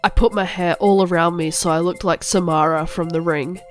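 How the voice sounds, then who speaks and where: A woman's voice: lowish voice, fairly even intonation, moderate pace, faint music behind, close to an everyday voice. A woman playing a moody, melodramatic teenage goth girl narrating a parody cartoon. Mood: gloomy. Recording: clearly audible background noise.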